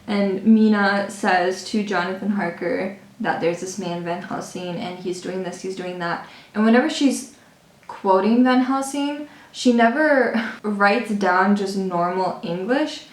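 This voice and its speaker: Casual vlogger woman, mid pitch, chatty with fillers, echoey room. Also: measured pace. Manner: chatty, fillers, laughs at herself. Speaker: book and makeup vlogger. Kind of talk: casual book review talk. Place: lives in Budapest. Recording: roomy echo.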